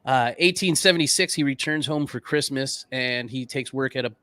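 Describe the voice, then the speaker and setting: A man's voice: mid-range voice, moderate pace, bright, forceful tone, no room sound. A man co-hosting a podcast, telling a history story in a casual, conversational way. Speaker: podcast co-host.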